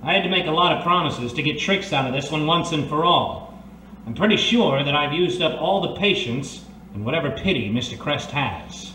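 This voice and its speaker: A reformed thief turned detective, earnest and resolute, in radio-drama style. His voice sits a little high. It is recorded from a distance in an echoey space. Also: expressive, normal speed, sharp tone. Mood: resigned. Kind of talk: old-time radio detective drama acting. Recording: echoey, distant mic, faint noise.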